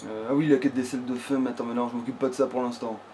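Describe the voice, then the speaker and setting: A man's voice: mid-range voice, flat delivery, racing, warm tone. A man doing casual, rambling let's play commentary with a friend, swearing crudely as he goes. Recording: background noise.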